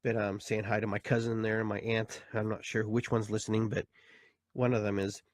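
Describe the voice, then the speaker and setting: A man's voice: on the low side, little pitch movement, normal speed. A man in his fifties hosting his own show, talking casually and reflectively, full of fillers and restarts. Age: 50s. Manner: rambling, reflective, with many fillers. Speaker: host and therapist. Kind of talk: casual live talk show monologue.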